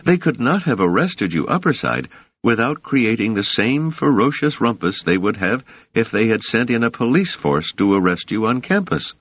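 An earnest, protective woman who blames herself and presses her point, as a male audiobook narrator puts her on. The voice is lifted and softened, swinging widely in pitch. The recording is dry and a little dull. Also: very animated, normal speed, breathy edge, no echo. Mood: alarmed.

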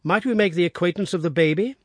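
A calm, keen-eyed detective, as a man voices him, measured and courteous, his voice slightly husky in a dry room. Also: high voice, dry recording, pitched above the performer's own voice. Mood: composed.

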